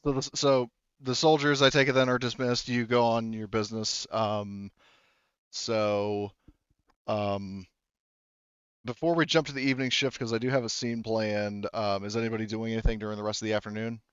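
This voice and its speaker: A man with a clean, smooth voice talks casually as a game master. He rambles and breaks off his own sentences as he sets scenes. The sound is dry and a little dull. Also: lowish voice, steady tone, normal speed, a bit bright, dry recording. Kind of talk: casual tabletop roleplay narration and table talk.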